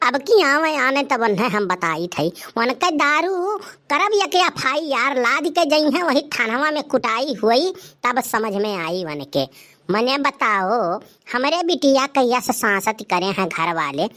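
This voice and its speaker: Woman acting high, bright, indignant Awadhi village mother. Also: expressive, unhurried, heavily acted. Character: indignant, scolding village mother and elder sister. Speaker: voice actor of a comedy cartoon channel. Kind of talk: dialect cartoon comedy acting.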